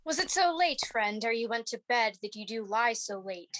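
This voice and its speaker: A woman's voice: fairly high pitch, animated, moderate pace, a bit bright, dry recording. A young woman playing a noble thane who questions a servant, in an amateur student Shakespeare performance. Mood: amused, questioning. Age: young adult.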